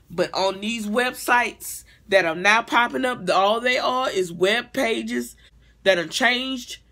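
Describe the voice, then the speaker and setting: A woman's voice: mid-range voice, fairly bright tone. A middle-aged woman giving a casual comedic rant in a blunt, profane, exasperated conversational way, recorded on a device mic in a room at home. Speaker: vlogger and hair stylist. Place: small home room, indoors.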